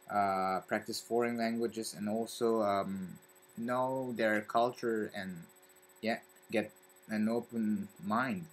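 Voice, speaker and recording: A young man from Belgium with a French accent, talking casually to camera in a halting way and searching for his words. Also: on the low side, slight background noise. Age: young adult. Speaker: vlogger. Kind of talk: casual vlog talk to camera.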